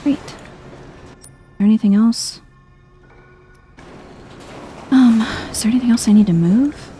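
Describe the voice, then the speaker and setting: A soft-spoken woman giving chill, casual game commentary in an ASMR vibe, murmuring as if to herself. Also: on the high side, measured pace, soft background music. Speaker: gameplay streamer.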